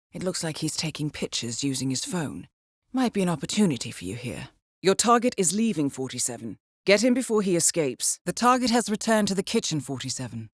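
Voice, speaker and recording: Cool british lady spy handler for a video game, slightly low voice, expressive melody, measured and wry. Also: dry recording, clean studio sound. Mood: calm, watchful. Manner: measured, polished, understated. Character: cool, composed, dryly witty female spy handler. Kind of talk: scripted video game voice acting, mission briefings.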